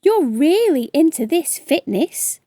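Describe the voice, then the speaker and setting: A woman's voice: sits high, very animated, slow, mellow, no echo, studio quality, pitched above the performer's own voice, heavily acted. A woman voicing a cheerful, encouraging female primary school teacher in a storybook, emphatic with her praise. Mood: encouraging, impressed.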